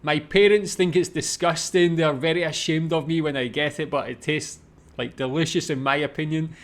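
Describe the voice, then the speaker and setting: A man's voice: fairly high pitch, fairly even intonation, moderate pace. A man with a Scottish accent giving casual, musing off-the-cuff commentary as host of a reaction video. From Scotland.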